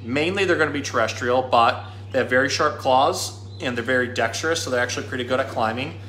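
Male zookeeper presenter, thirties, informal and explanatory, bright forward voice, roomy sound. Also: mid-range voice. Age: 30s. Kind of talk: casual educational talk and storybook reading. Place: small indoor room at a pet store. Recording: echoey room.

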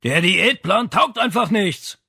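A man voicing a grumpy, stubborn father who calls out loudly and defiantly in an audiobook. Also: high voice, animated, slow pace, creaky, slightly rough, pitched above the performer's own voice, full character voice. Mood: grumpy shouting. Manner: loud, defiant calling. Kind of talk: audiobook character acting.

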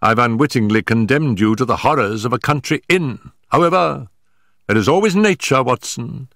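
A man doing a crisp, commanding detective. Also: medium pitch, expressive, moderate pace, fairly bright tone, dry recording, studio quality, pitched above the performer's own voice. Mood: amused. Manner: crisp, emphatic, assured. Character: sharp, commanding male detective, middle-aged.

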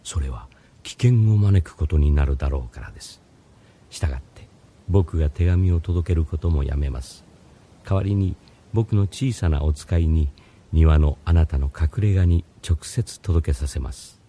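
A man's voice: very deep voice, normal speed, warm tone, slight background noise. A man playing a mysterious, courteous philosophy teacher, narrating in a scripted radio drama. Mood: courteous, secretive.

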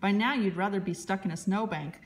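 A woman reading a children's picture book aloud as a librarian, in expressive, deliberate narration. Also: mid-range voice, slight background noise.

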